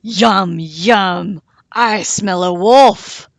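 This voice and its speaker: A woman voicing a huge, hungry monster in a storybook, with a deep, growling bellow. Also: drawn-out words, dry recording, clean studio sound. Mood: hungry, booming.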